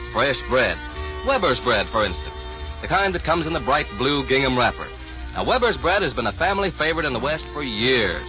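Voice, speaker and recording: A man announcing in a folksy, chatty 1940s radio commercial style. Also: mid-range voice. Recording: dull old 1940s radio recording with music.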